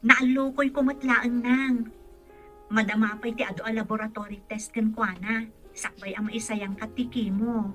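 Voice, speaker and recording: A woman's voice: on the high side, little pitch movement, normal speed, fairly bright tone, soft background music, natural voice. A woman playing a caring, respectful young caregiver in an Ilocano radio drama. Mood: concerned, reporting.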